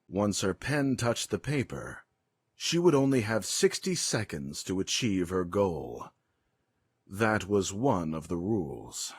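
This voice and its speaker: A man narrating a scripted dramatic story in a grave, deliberate, suspenseful manner. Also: on the low side, slightly rough, no echo, clean studio sound.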